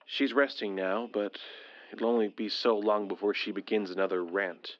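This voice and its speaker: A man's voice: lowish voice, fairly even intonation, moderate pace, a bit bright, slight background noise, natural voice. Man acting trapped invasion survivor, anxious, frustrated, formal brooding delivery, audio drama, dull recording. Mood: uneasy, wry.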